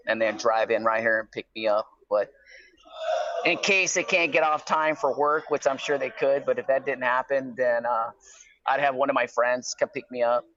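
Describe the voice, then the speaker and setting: A man's voice: mid-range voice, fairly bright tone. Middle-aged man on a dull phone line. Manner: conversational, explanatory, peppers talk with "right?" and "you know". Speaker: guest calling from prison. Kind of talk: casual phone conversation. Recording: slightly dull, lo-fi phone call.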